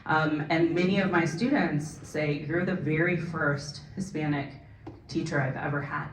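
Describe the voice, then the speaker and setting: A low-pitched woman with a Californian accent speaks earnestly on a panel, often checking in with "right?". Her voice carries through an echoey hall, picked up from a distance. Faint noise sits under the room. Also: steady tone. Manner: earnest, frequent "right?" tags, quick asides. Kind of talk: panel discussion answer. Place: forum event hall.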